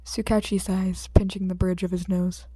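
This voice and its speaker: A woman narrating a fiction audiobook from a script, with expressive delivery. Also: fairly low pitch, moderate pace, slightly rough, dry recording.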